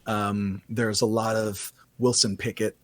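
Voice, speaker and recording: A man with a raspy voice, a singer-songwriter guest chatting casually on a podcast, often restarting his sentences. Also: lowish voice, flat delivery, measured pace, fairly bright tone, no echo. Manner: rambling, self-correcting casual talk.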